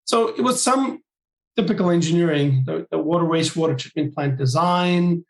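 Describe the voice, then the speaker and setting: A man from Turkey, a guest on an interview podcast, explaining his work in measured, listing phrases. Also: a little high, echoey room, poor audio. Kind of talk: interview answers.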